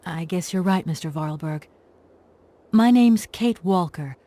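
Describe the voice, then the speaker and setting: A woman's voice: lowish voice, takes it slowly, mellow. An actress playing an earnest young professional woman, courteous and businesslike, in a video game. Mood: sympathetic.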